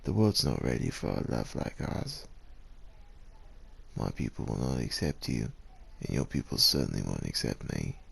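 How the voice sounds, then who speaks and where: A man voicing a devoted male dragon lover in an intimate ASMR roleplay, tender and sorrowful, with a deep gravelly, husky voice. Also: very animated, moderate pace, a bit bright, heavily acted. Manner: tender, earnest, pleading.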